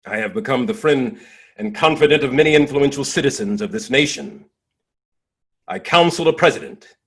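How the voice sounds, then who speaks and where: A man acting as a dignified nineteenth-century abolitionist orator with a husky voice. He delivers a stage monologue in stately, impassioned phrases that swell and fall away, set off by deliberate pauses. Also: mid-range voice, fairly bright tone. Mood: solemn, resolute. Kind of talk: dramatic monologue, theatrical oratory.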